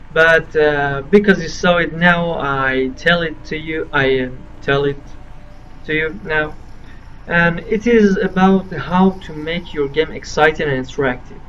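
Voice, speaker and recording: A Farsi-speaking man, giving casual game commentary in halting English as he searches for words. His voice sits a little high. The recording is distant and muffled, with faint noise behind it. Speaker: gaming video host. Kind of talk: casual let's-play commentary.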